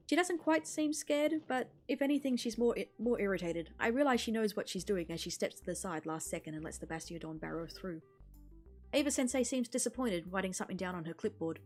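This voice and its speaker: Woman streamer reading a visual novel out loud, storytelling style, bit of music behind her. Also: medium pitch. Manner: expressive storytelling with character voices.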